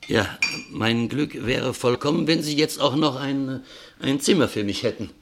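An actor playing a wary, middle-aged ruined factory owner in a 1960s radio play, courteous, hesitant and confiding. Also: male voice, medium pitch, a little slow. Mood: relieved, hearty. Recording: 1960s radio drama recording.

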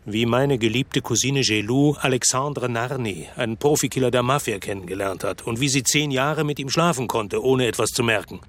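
An actor playing a tense investigator in a radio drama, questioning insistently but with control, protective of a relative. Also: male voice, mid-range voice, moderate pace, few pauses, a bit bright, lightly acted. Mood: accusing, sardonic. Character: tense, probing male investigator, protective of his cousin. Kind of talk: naturalistic radio drama dialogue.

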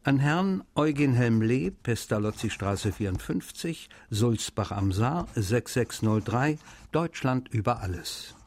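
A man's voice: medium pitch, expressive, measured pace, darkish tone. A man playing a busy writer reading his letters in a casual, slightly snippy way for a radio feature. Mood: dry, ironic.

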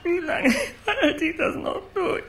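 Radio drama: sleepless, pleading patient, high-pitched, halting and tearful, extremely slow delivery. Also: female voice, fairly bright tone, heavily acted. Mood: tearful, despairing. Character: suffering, sleepless hospital patient pleading for relief.

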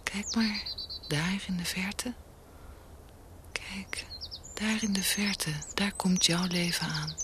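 An actress playing a tender, doting mother in a radio drama, cooing gently and wistfully to her baby in short repeated phrases. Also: mid-range voice, slow, pauses often, close to an everyday voice. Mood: wistful, hopeful. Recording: outdoor scene with birdsong and noise. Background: birdsong.

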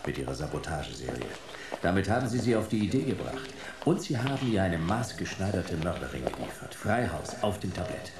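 A man's voice: sits low, sing-song, fairly quick, background noise. An actor playing a dry, laconic private detective who narrates his case in a radio drama. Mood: musing.

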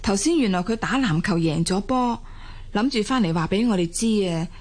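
A woman playing a gentle middle-aged mother and wife in a Cantonese audio drama, speaking in a soothing, conciliatory way. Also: medium pitch, lively intonation, normal speed, lightly acted. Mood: concerned, persuasive.

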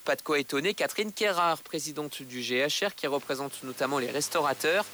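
A man's voice: on the high side, fairly quick, bright, forceful tone. A man reporting in a scripted radio news style. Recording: hissy FM radio recording.

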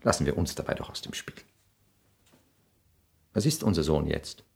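A male actor playing a cynical upper-class lord in a radio play, with polished, measured, condescending delivery. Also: rumbling low, sing-song, creaky, husky, full character voice. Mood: cold, self-assured. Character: suave, cynical upper-class English lord, cool and self-assured. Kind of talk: 1960s German radio play acting.

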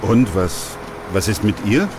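An actor playing a weary, sober doctor and narrator in a German radio play, speaking in a measured, restrained way. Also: male voice, lowish voice, lively intonation, noisy background. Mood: troubled. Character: weary, sober male doctor who narrates a plague chronicle.

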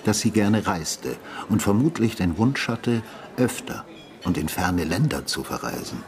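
An actor playing a brooding retired homicide detective in a radio drama, probing and measured in his delivery. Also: deep voice, big pitch swings, exaggerated voice. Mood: probing, insistent. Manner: probing, measured, matter-of-fact. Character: brooding retired male homicide detective, insistent questioner and narrator. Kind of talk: radio drama dialogue and narration. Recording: music behind the voice.

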